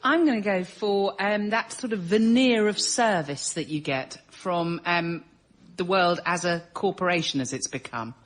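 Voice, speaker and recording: A woman's voice: lowish voice, a little slow. A woman complaining comically as a panel show guest in a conversational, wry, exasperated way.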